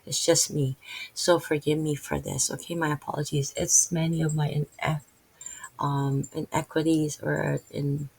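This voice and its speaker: A middle-aged woman with a Filipino accent, talking casually and haltingly to her channel with many restarts. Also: low-pitched, level tone, creaky, a little mellow, slightly rough, rough recording. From Philippines. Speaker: channel host. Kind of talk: casual unscripted video talk.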